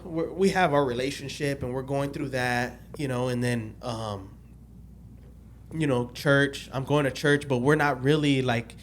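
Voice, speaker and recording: Guy in his late 20s rambling thru his story, lots of restarts and ums, some faint hiss behind him. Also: medium pitch. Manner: rambling, loses track of dates, many restarts and fillers. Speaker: podcast guest telling his testimony. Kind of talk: casual podcast storytelling.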